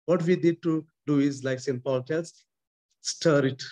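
Indian priest preaching on a webcam, slightly high voice, lots of repeating for emphasis. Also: male voice, fairly even intonation, measured pace, warm tone. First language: not English. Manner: emphatic preaching, frequent repetition and restarts, gestures while talking. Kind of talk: sermon over video call. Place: small chapel indoors. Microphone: webcam close up.

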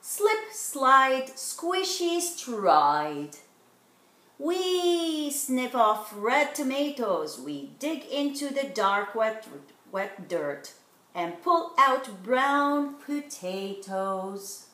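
A woman's voice: high-pitched, very animated, extremely slow, a bit bright. A middle-aged woman reading a children's picture book aloud, stretching out rhyming words expressively. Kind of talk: animated children's read-aloud. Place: living room at home, indoors. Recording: roomy home recording.

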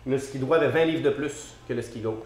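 Fast-talking Québécois mechanic, echoey shop. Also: male voice, mid-range voice, a little mellow. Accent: Québécois French. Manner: quick, confident, technical. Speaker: dealership specialist. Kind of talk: technical explanation in interview. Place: indoor metal-walled workshop. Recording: echoey workshop.

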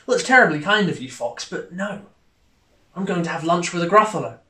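A man's voice: high-pitched, expressive, fairly quick, pushed and bright, reverberant. A man voicing a small, clever, polite but boastful mouse in a storybook. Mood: politely confident.

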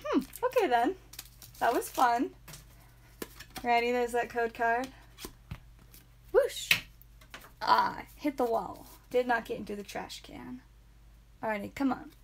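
Woman, high pitch, calming voice, playful live-stream host, faint background hiss. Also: slow pace, vocal fry, long pauses. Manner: playful, says sound effects aloud. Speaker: trading card stream host. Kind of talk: live pack-opening stream chat. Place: indoor room with whiteboard.

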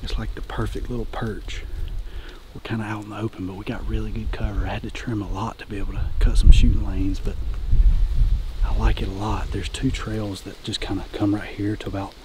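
A man's voice: fairly low pitch, little pitch movement, a little fast. A man in his thirties hosting a casual hunting vlog, talking in a folksy way with hunting slang. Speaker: hunting show host. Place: outdoors in open country, and in a vehicle cab. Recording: noisy outdoor and vehicle ambience.

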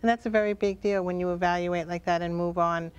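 A woman guest author chatting casually on a live talk show, speaking in a reflective, deliberate way with drawn-out phrases. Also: fairly low pitch, fairly even intonation, clear, resonant tone, dull audio.

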